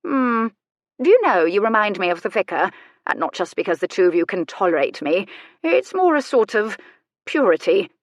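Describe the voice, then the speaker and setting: A woman's voice: medium pitch, big pitch swings, normal speed, dry recording. Woman playing a haughty, mischievous old bedridden lady. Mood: amused. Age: older. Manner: haughty, theatrical, dryly teasing. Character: elderly bedridden aristocratic lady, sharp-tongued and mischievous.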